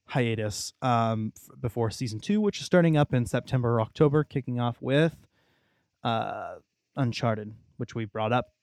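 A man's voice: medium pitch, normal speed, darkish tone, clear, resonant tone, no echo. A young man co-hosting a casual podcast, talking in a chatty, rambling way. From Texas, United States. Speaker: podcast co-host. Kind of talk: casual video game discussion.

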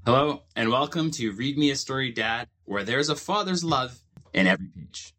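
A man with a slightly rough, husky voice reads a children's storybook aloud. His pitch rises and falls freely, and he phrases the verse in a measured way with expressive emphasis. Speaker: host reading a children's book aloud.

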